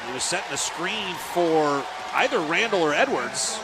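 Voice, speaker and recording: American radio basketball announcer, gravelly and higher-pitched. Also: male voice, animated, normal speed, a loud crowd, heavy background noise. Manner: clipped, fragmentary calls. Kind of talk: live basketball play-by-play commentary. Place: live sports broadcast from the game.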